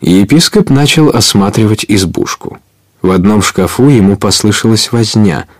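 A man's voice: on the low side, normal speed, no echo, clean studio sound. A man narrating an adventure story in a scripted radio play, as a storyteller.

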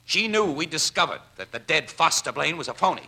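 An actor playing a wisecracking, shrewd crime photographer in a 1940s radio drama, talking in a brash, colloquial way. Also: male voice, on the high side, animated, brisk, pushed and bright, breathy edge, full character voice. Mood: confident, accusing.

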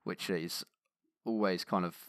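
A man's voice: sits low, fairly even intonation, measured pace, no room sound, clean studio sound. A man from England, chatting casually as a podcast host about tabletop games.

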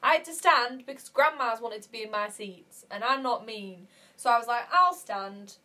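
A young woman, voice sitting a little high and bright. She tells stories in a casual chat with lots of energy and frequent laughter. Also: normal speed. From Yorkshire, England. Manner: animated storyteller, laughs often. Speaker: podcast co-host.

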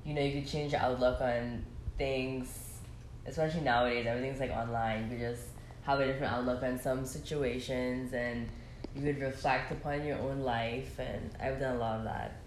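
Young woman, very low pitch, reflective casual guest, faint ambient hiss. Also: fairly even intonation, normal speed. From Bahamas. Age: 20s. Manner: rambling, reflective, many fillers. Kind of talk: casual conversation. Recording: echoey room, faint ambience.

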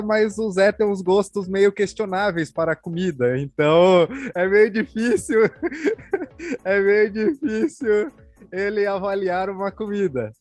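A man's voice: high voice, a little mellow. A man with an interior São Paulo accent from the Sorocaba area, hosting a podcast in a laid-back, slangy, teasing way. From Sorocaba region, São Paulo state. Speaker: podcast host. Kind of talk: casual chat.

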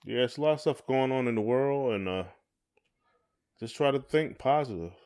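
A man's voice: mid-range voice, animated, moderate pace, a little mellow. A middle-aged man, chatting casually as a podcast co-host in a folksy, encouraging way.

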